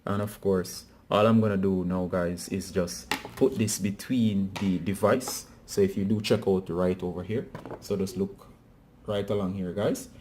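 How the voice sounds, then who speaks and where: A man's voice: low-pitched, steady tone, measured pace, a little mellow, slight background noise. A man presenting a casual hands-on how-to tutorial, talking directly to viewers.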